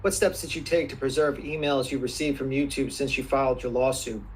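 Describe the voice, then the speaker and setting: A man's voice: medium pitch, steady tone. Guy lawyer grilling a witness, formal, keeps repeating questions, little bit noisy audio. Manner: persistent, repeats questions deliberately. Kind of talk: formal deposition cross-questioning.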